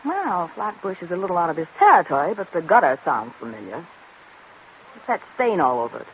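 Arch, swooping sardonic radio wife. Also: female voice, on the low side, sing-song, brisk. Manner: arch, teasing. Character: sultry, sardonic, flirtatious wife trading barbs. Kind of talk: 1940s-50s radio acting. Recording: dull, noisy old radio recording.

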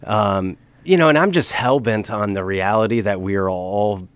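A man's voice: fairly low pitch, moderate pace, clean tone, no echo. A middle-aged man in casual podcast talk, earnest and emphatic, pressing his points with conviction.

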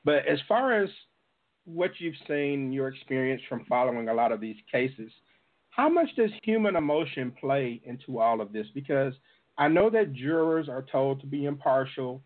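A man hosting a conversational interview, speaking haltingly and pausing mid-thought. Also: fairly high pitch, sing-song. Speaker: interviewer, host. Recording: thin, phone-like sound.

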